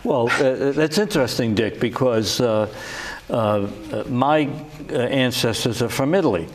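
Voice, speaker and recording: An older man hosting a political talk show, speaking slowly and deliberately with frequent pauses and fillers. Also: medium pitch, a little hiss or hum. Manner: slow and deliberate, many uh fillers. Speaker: host.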